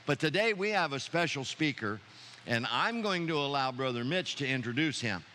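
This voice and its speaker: A man's voice: mid-range voice, bright, forceful tone, a little hiss or hum. A man leading a church service as pastor, speaking with enthusiasm to the congregation. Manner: enthusiastic, preacherly. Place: church sanctuary.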